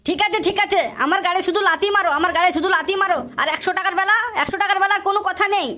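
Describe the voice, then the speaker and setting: A performer voicing a whiny, foul-mouthed young son in a comedy cartoon, with an artificially pitched-up voice. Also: fairly even intonation, rapid-fire, bright, forceful tone. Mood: whiny, pleading. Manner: whiny, quarrelsome, swearing. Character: aggrieved, foul-mouthed young son pestering parents for money. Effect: artificially pitched-up cartoon voice filter.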